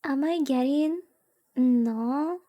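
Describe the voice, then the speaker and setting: A woman's voice: high-pitched, very slow, dark tone. A woman streamer reading a visual novel aloud in a casual livestream style, informal and given to playfully exasperated commentary.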